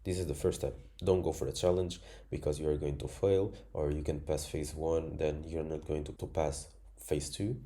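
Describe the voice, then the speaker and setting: Young guy from cuba, spanish is his first language, super low dark voice, earnest step by step explaining. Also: level tone, normal speed, few pauses. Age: 20s. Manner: earnest, plain explaining in imperfect English. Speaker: trading educator. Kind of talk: screen-share tutorial.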